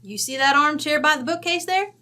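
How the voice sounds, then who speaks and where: A woman's voice: high-pitched, brisk, bright, forceful tone. A woman playing a bossy, blunt, folksy mother from Wyoming in an old-time radio drama. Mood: matter-of-fact. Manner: brassy, folksy, chatty.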